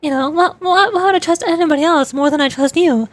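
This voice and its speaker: A woman's voice: high voice, fast talker. A young woman streamer chatting in a playful, teasing way and laughing often. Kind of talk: casual chat while voicing game lines.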